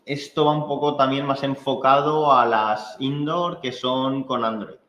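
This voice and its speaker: A man with a Spain Spanish accent giving an informal technical training, explaining in a halting, conversational way with frequent check-in tags. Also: medium pitch, steady tone, fairly bright tone. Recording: echoey room, dull muffled sound.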